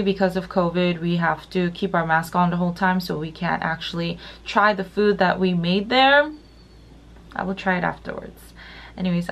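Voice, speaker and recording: A young woman in her twenties talking casually to a handheld camera in her apartment, her voice a little deeper than usual after just waking up. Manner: chatty, upbeat, says "like" often. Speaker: vlogger. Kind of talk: casual vlog talk to camera. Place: small apartment room, indoors. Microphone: handheld camera at arm's length.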